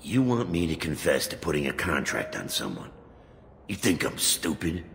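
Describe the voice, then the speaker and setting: A cocky game crime boss with a very deep, lively voice. He sneers and taunts in street-tough slang. The sound rings in a hard room. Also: normal speed, gravelly, big-room sound, slight background noise, full character voice. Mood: taunting. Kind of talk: video game voice acting.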